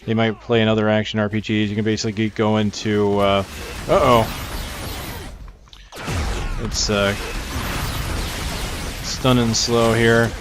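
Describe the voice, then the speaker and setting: A man's voice: on the low side, little pitch movement, unhurried, pauses often, noisy background. Man casually commentating over gameplay. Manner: informal, thinking aloud. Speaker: gaming video host. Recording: faint game music behind. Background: game music.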